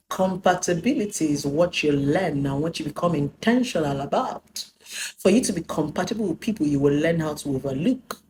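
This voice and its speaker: A Nigerian woman in her thirties speaks straight to her viewers in an emphatic, preaching way. Her voice sits fairly low, and she now and then slips into Pidgin. A faint hiss runs under the recording. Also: darkish tone. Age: 30s. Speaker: relationship speaker. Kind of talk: personal talk to camera.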